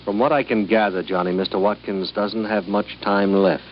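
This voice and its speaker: A man's voice: lowish voice, fairly even intonation, moderate pace, lightly acted. An actor playing a persuasive insurance bureau man in a 1950s radio drama. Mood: businesslike, coaxing. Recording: muffled, noisy old radio recording.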